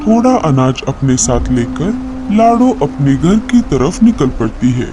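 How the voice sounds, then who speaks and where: Slow male children's story narrator. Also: fairly high pitch, sing-song, music in the background.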